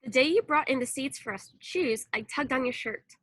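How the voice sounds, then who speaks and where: A woman reading a children's story aloud in an expressive narrating style. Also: sits high, brisk, no echo. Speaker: storybook reader.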